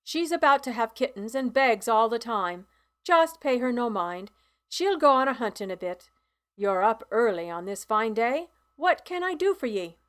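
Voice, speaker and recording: A gruff old Scottish farmer, as a woman reader puts him on grumbles and blusters in a raised, loud voice. He sounds exasperated and set in his ways, the voice pitched higher than a typical man's. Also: sing-song, normal speed.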